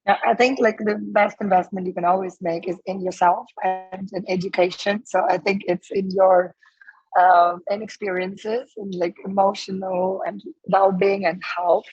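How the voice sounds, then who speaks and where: A woman in her thirties from Ukraine, hoarse and losing her voice, talking casually as a guest with many fillers and restarts. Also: medium pitch, level tone, moderate pace, a little mellow, dry recording, muffled recording, soft background music. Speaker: guest, startup co-founder. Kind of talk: casual interview answers.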